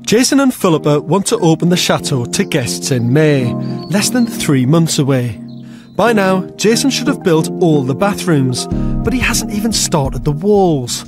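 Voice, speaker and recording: A man presents a scripted documentary in a fairly high male voice. His narration runs over clearly audible music. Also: big pitch swings, measured pace. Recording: music behind the voice.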